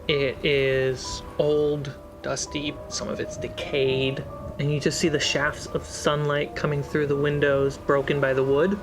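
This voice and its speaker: A game master slowly describing scenes of a tabletop game to the players. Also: medium pitch, monotone. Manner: slow, deliberate scene description. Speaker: game master and channel host. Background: background music score.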